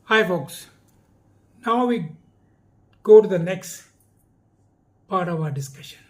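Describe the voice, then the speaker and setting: A man presenting a simple explanatory talk to viewers in a deliberate, emphatic, teacherly manner. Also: sits high, expressive.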